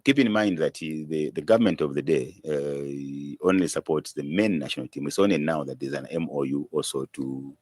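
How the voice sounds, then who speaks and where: A man's voice: a bass voice, moderate pace, hardly any pauses, darkish tone, no echo, studio quality. A man with a Zambian accent giving opinionated, spontaneous interview answers, stressing points by repeating himself. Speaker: football commentator being interviewed.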